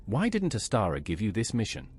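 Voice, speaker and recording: A woman's voice: very deep voice, wildly swooping pitch, fairly quick, a little mellow, slight background noise, exaggerated voice. A woman voicing a direct, businesslike assassin recruit in a fantasy game, speaking tersely. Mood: inquiring.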